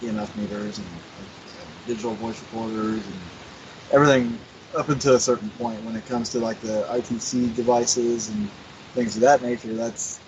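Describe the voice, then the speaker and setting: A man's voice: on the low side, flat delivery, normal speed, darkish tone. A man who is a guest on a paranormal talk radio show, talking hesitantly with many fillers and restarts. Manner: hesitant, full of "like" and "uh". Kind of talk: casual talk radio conversation. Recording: dull lo-fi sound with faint hiss.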